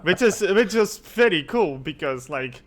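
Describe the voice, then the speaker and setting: Higher-voiced man hosting a casual chat. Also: animated, unhurried. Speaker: podcast host.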